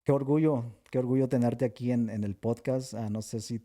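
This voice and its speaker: A man's voice: medium pitch, steady tone, fairly quick, mellow. A Mexican man with a Mexican accent hosting a podcast, chatting in a friendly way with restarts and "este" fillers. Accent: Mexican Spanish. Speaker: podcast host. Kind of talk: casual podcast interview.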